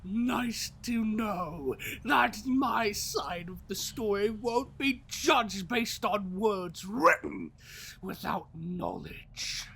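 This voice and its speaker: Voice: medium pitch, animated, very slow, creaky, a bit bright, full character voice. An actor playing an ancient, sinister immortal storyteller in an audio drama, theatrical, bitter and mocking, drawing out riddles and slipping into hissing whispers. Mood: mocking, silky.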